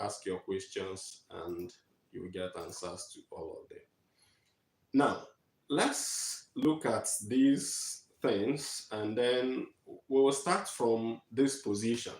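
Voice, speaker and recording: Guy from nigeria doing a slide talk on a video call, kinda low voice, roomy echo, lots of uhs. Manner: measured, explanatory, with frequent fillers. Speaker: guest speaker. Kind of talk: online presentation with slides.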